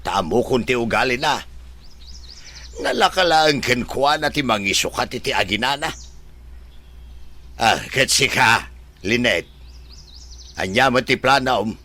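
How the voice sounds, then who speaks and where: A man acting a protective, reasonable older father in an Ilocano radio drama, with a husky voice and an Ilocano accent. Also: medium pitch, lively intonation, unhurried, pauses often, sharp tone, full character voice. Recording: faint noise behind the voice.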